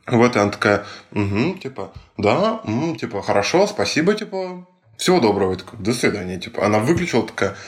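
A young man co-hosting a podcast, telling a story in a casual, animated way, mimicking other people's lines and swearing often. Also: mid-range voice, fairly quick. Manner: animated retelling with acted-out quotes and heavy swearing. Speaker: podcast co-host.

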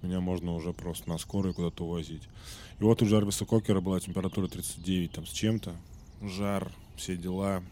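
A man with a low, dark, mellow voice hosts his own podcast while a little under the weather, clearing his throat now and then. He tells his story loosely, full of fillers and self-corrections. Faint background noise sits under his voice. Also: steady tone, quick pace. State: slightly sick, occasional throat clearing. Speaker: podcast host. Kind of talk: casual storytelling monologue.

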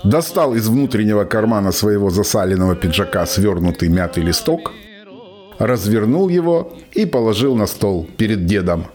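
A man narrating a scripted radio play in a dramatic storytelling manner, with deliberate pauses. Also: on the low side, lively intonation, darkish tone. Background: music.

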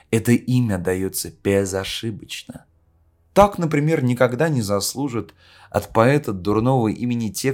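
A man playing a solemn poet, reciting a grave philosophical oration in a measured, declamatory way with emphatic pauses. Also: medium pitch, a little mellow, lightly acted. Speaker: reader of a poet's speech. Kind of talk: dramatic literary recitation in an audio play. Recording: music under the voice. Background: music.

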